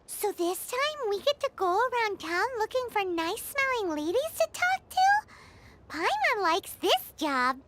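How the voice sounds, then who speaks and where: A woman voicing a small, cheeky childlike fairy companion in a video game, squeaky, bubbly and blunt. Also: sing-song, a little slow, background noise, exaggerated voice. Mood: eager, cheeky. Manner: bubbly, blunt, refers to self by name. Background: music.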